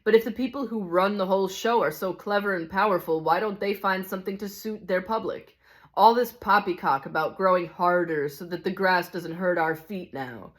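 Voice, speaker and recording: A man's voice: high voice, normal speed, fairly bright tone. A woman reading the part of a cynical, hard-bitten male ghost in a storybook, grumbling scornfully and insistently. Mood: triumphant complaint. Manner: scornful, insistent complaining with rhetorical questions.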